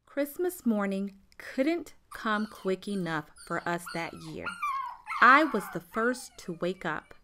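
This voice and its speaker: A woman's voice: mid-range voice, sing-song, takes it slowly, pushed and bright, slight background noise, heavily acted. A woman playing a storyteller fondly looking back on childhood in a radio play. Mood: nostalgic. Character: grown woman fondly recalling her childhood. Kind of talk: scripted storytelling narration.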